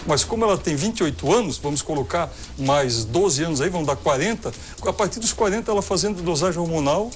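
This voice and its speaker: A man's voice: on the high side, animated, quick pace, few pauses, slight background noise. A man with a Brazilian Portuguese accent, a gynecologist answering viewers' questions on a TV health show in a methodical, explanatory way. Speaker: gynecologist guest expert.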